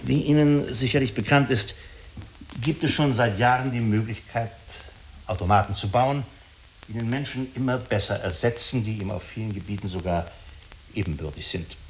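A man playing a diplomatic, persuasive agency official in a German radio drama, speaking formally and deliberately with small hesitant pauses. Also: medium pitch, fairly even intonation, lightly acted. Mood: explanatory, diplomatic. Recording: clearly hissy radio recording.

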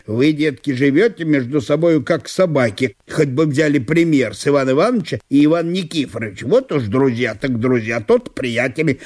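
Male actor as pious flustered judge, exclamatory, astonished, wide swooping pitch, audiobook drama. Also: a little mellow. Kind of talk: theatrical audiobook acting.

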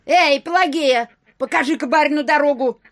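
A woman's voice: sits high, normal speed, close to an everyday voice. An actress playing an old provincial landlady in an audio drama, homely and eager, calling out in exclamations. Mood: bossy. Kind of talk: theatrical audio drama acting.

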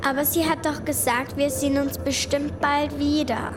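High-voiced anxious little girl played by a woman. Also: level tone, moderate pace, close to an everyday voice. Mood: puzzled, anxious. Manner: plaintive, childlike questioning. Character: anxious young girl on a train, trusting daughter. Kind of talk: German radio drama acting. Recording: clear vehicle noise behind.

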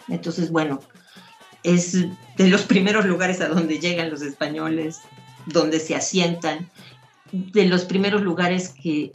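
A woman hosting an interview, speaking conversationally in a halting way as she thinks aloud. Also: fairly low pitch. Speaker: host. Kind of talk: conversational interview introduction with guest.

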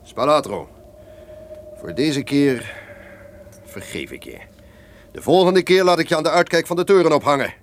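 An actor playing a guilt-ridden, suspicious castle lord, theatrical and declamatory, in an old-fashioned radio drama. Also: male voice, on the high side, animated, normal speed, long pauses, close to an everyday voice. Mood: angry, commanding. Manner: theatrical, declamatory, swinging from barking orders to brooding. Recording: noisy old radio play recording.

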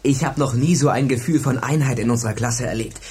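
A man's voice: medium pitch, fast, a little mellow, slightly rough. A male actor playing an eager, headstrong teenage pupil in a radio drama, with a colloquial, insistent way of talking. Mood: sulky, insistent. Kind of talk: German radio drama acting.

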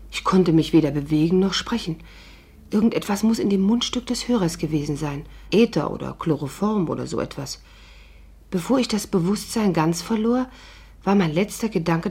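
An actress playing a young adventuress who narrates her own escapade in a 1950s radio play, measured and confiding. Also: fairly low pitch, darkish tone, slightly rough, heavily acted.

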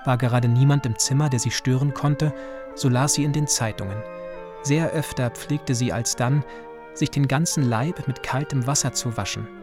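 Formal royal husband played by an actor over music. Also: male voice, mid-range voice, normal speed, close to an everyday voice. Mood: matter-of-fact. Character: methodical, formal royal husband recalling his wife. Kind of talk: dramatized memoir reading in radio drama.

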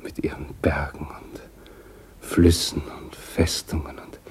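A deep, dark male voice for a radio drama. He is a melancholy, courteous middle-aged gentleman, slow and halting, with old-fashioned politeness and a very husky breath in the tone. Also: level tone, whispered, exaggerated voice. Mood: wistful, musing. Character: melancholy, courteous ailing husband in a small town. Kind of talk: literary radio play acting.

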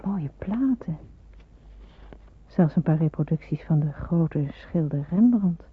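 A woman's voice: low voice, lively intonation, slow pace, husky, full character voice. An actress playing an earnest, anxious young woman in wartime hiding, musing hesitantly in an old radio play. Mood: hushed, tense, musing. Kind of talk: Dutch radio play acting with hushed inner monologue. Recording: muffled, narrow-band old radio recording.

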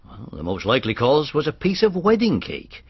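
An actor playing a deferential, matter-of-fact police inspector in a radio drama, plain-spoken and polite. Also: male voice, on the high side, wildly swooping pitch, moderate pace, slightly rough, no room sound, highs cut off, full character voice.

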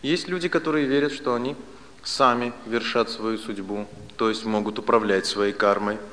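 A man in his late thirties giving a spiritual philosophy lecture, speaking deliberately in short, didactic phrases with pauses and repetition. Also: fairly low pitch. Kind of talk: lecture on Vedic philosophy to a live audience. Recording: reverberant hall, loud steady background noise.

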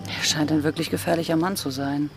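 An actress playing a tender, devoted female pirate weary of fighting, speaking gently and earnestly in a German radio drama. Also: low voice, fairly even intonation, a little fast. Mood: concerned. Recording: studio radio play with prominent music.

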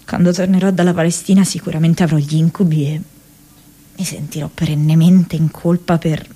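An actress playing an earnest, distressed young woman activist in a radio monologue, impassioned and halting, repeating words as she goes. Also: low voice, steady tone, warm tone, husky. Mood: frightened, weary. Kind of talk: dramatic radio monologue from diaries and letters.

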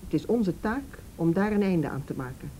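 A calm policewoman played by an actress in a radio drama, with a mellow, slightly low voice. She is direct and patient, firm yet reassuring. The recording carries faint rumble. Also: normal speed, natural voice. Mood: calm, serious. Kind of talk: Dutch radio play acting.

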